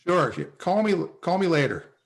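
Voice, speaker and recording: A man's voice: high-pitched, huge pitch swings, normal speed. A man playing a defensive, wisecracking slacker salesman in a sitcom read over a video call, with a dry, offhand manner. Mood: groggy, reluctant.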